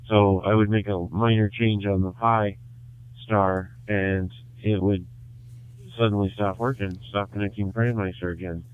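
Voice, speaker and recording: A man calling in on a ham radio net, chatting casually in a halting way with many fillers and restarts. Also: lowish voice, steady tone, clear, resonant tone. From Michigan, USA. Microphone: handheld radio transmitter. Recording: tinny two-way radio audio.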